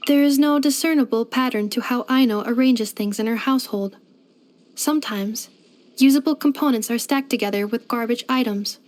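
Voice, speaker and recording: High-pitched female android, acted by a woman, video game character, courteous, devoted, formal, computer-precise wording. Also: moderate pace, darkish tone, lightly acted. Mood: polite, matter-of-fact. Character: polite, devoted female household robot companion.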